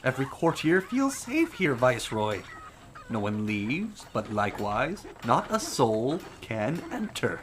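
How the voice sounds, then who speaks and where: An actor playing a haughty, exuberant, self-deluded prince in a theatrical, declamatory audio drama style. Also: male voice, medium pitch, sing-song, measured pace, noisy background. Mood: smug. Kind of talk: full-cast audio drama acting.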